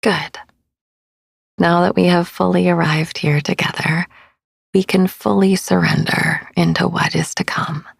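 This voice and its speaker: A woman speaking in a soothing, hushed, often near-whispered voice as she guides a sleep meditation, breathy and husky with vocal fry, pausing gently between phrases. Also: lowish voice, level tone, no echo, studio quality. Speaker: podcast host, meditation guide. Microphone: close studio microphone, dry.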